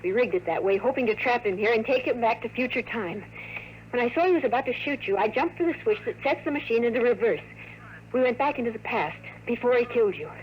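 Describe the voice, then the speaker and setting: A high-pitched, earnest heroine acted by a woman from a 1950s radio drama. She speaks with emotional urgency, like an agent in love, over faint old broadcast noise. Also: animated, quick pace, close to an everyday voice. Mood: urgent explaining. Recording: noisy vintage radio recording.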